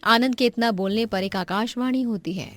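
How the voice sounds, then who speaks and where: A woman's voice: on the high side, moderate pace. A woman storyteller reading scripted narration for a cartoon moral tale.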